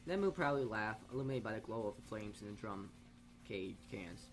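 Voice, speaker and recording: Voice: medium pitch, expressive, brisk, faint music behind. A gaming video host reading story text aloud dramatically and dropping in casual, blunt asides.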